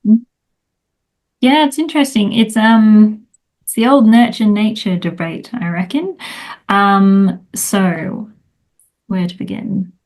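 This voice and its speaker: A woman's voice: medium pitch, takes it slowly, pauses often, darkish tone. A middle-aged woman, a time management coach, chatting casually as an interview guest, rambling with many fillers.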